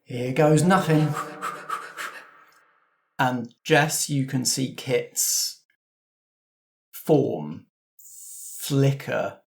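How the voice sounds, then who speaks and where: A man's voice: medium pitch, drawn-out words, creaky, long pauses. A man working as game master, narrating a tabletop roleplaying game. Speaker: game master of an actual-play podcast.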